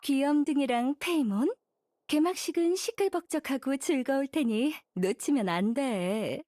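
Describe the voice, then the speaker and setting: A woman's voice: high voice, animated, measured pace, dark tone, dry recording, clean studio sound. An actress playing a kindly, patient young woman mentor in an anime game, teacherly and encouraging. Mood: advising. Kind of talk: Korean anime game voice acting.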